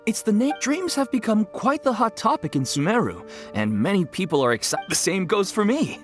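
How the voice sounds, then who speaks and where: A woman plays a courteous female event organizer in a fantasy game. The medium-pitched voice is formal yet enthusiastic, with lively intonation. Music runs clearly behind it. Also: normal speed, natural voice. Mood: welcoming. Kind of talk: English video game voice acting.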